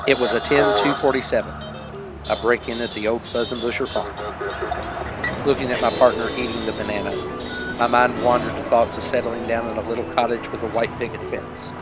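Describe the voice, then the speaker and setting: A man narrating as a terse, deadpan detective in a parody of an old police drama. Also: mid-range voice, brisk, over loud music, rough recording.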